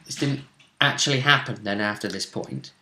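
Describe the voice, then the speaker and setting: A man's voice: lowish voice, normal speed, bright, forceful tone. A man speaking as a game master, casually recapping a tabletop game. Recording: echoey room sound.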